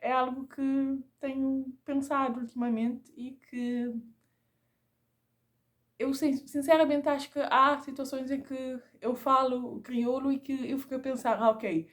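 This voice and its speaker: A woman from Cape Verde, a Creole native speaker, talks in Portuguese with a Cape Verdean accent. Her voice sits a little high, and she reflects aloud, pausing and repeating small words as she gathers her thoughts. It is an informal, personal vlog monologue. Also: level tone. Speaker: vlogger.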